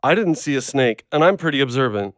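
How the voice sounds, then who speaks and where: Woman doing a wary prickly dog owner in an audio drama, low voice, curt, dry sound. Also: expressive, fast, no room sound. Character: guarded, prickly dog owner, easily startled. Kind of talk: audio play acting.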